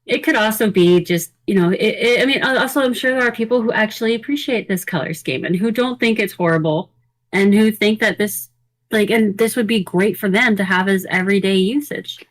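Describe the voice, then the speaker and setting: A woman's voice: medium pitch, brisk. A woman co-hosting a podcast, giving casual, opinionated reviews in an informal conversational way. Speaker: podcast co-host. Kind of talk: casual product review chat.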